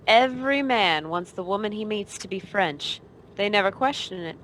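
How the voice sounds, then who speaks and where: A woman's voice: medium pitch, lively intonation, moderate pace, fairly bright tone, a little hiss or hum, natural voice. Brazen noir femme fatale, played by a woman. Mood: sly, teasing. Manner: cool, brazen, wisecracking. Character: tough, teasing, dangerous female femme fatale operative. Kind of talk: 1940s noir radio play acting.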